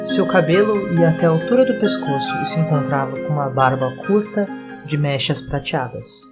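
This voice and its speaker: A Brazilian man narrates a scripted story in a fairly high voice for a male. Music plays clearly behind his reading. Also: normal speed.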